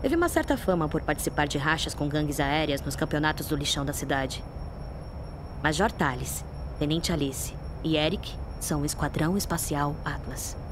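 Low-voiced Brazilian space-saga narrator. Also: female voice, animated, background noise. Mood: wry. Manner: measured, dramatic. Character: wistful, wry female narrator of space saga. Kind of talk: scripted narration.

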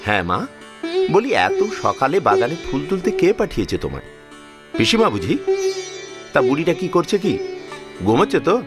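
A man's voice: mid-range voice, huge pitch swings, moderate pace, long pauses, over music. An actor playing an affectionate, fussing father-in-law of sixty-five in a Bengali audio drama, emphatic and repeating his words as he scolds protectively. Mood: protective scolding. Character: affectionate, fussing 65-year-old father-in-law, devout household elder.

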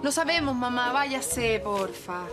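Impatient young Chilean woman, high lively voice, insistent. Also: loud music behind the voice. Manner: brisk and insistent.

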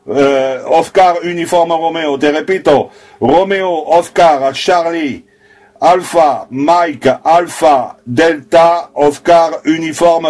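A man's voice: on the high side, darkish tone. A man working as an amateur radio operator, talking in call signs and phonetic spelling during a contact.

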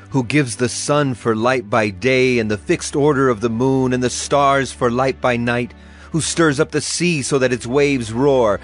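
Man as solemn, declamatory prophet, mid-pitched. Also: natural voice. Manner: declamatory, earnest. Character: solemn male Hebrew prophet proclaiming divine oracles. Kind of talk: dramatized scripture reading. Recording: music behind the voice.

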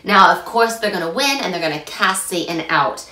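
A young woman with a slightly rough, hoarse voice talks through a scripture lesson in a chatty, animated way. Her voice sits a little low but sounds bright and forceful. It is caught from some distance in an echoey room at home. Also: moderate pace. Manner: chatty and animated, gesturing a lot. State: hoarse after illness. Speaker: scripture study host. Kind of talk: casual scripture lesson to camera.

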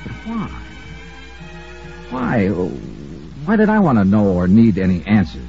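An American actor playing a shrewd, easygoing insurance investigator who also narrates an old-time radio detective drama in a wry, musing way. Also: male voice, on the low side, huge pitch swings, slow, creaky, faint music behind, full character voice. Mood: musing, intent. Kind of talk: hard-boiled radio detective acting and narration. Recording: dull old radio broadcast.

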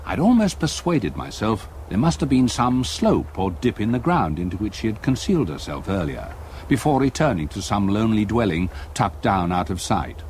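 An older man with an English accent playing a retired solicitor who narrates a haunting memory in a measured, literary radio-play manner. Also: on the low side, big pitch swings, darkish tone, slight background noise. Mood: grave, reflective.

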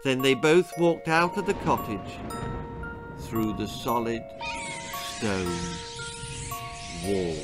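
Older british guy reading spooky kids story, slow n dramatic, music behind. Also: medium pitch, big pitch swings. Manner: measured, dramatic. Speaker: author reading his own story. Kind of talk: scripted storytelling for children, spooky and comic. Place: small book-lined room indoors.

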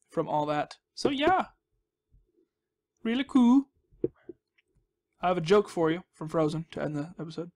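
A young man giving casual, playful gaming commentary, rambling and reacting to himself as he plays. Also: fairly high pitch. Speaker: gaming host. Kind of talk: casual Let's Play commentary.

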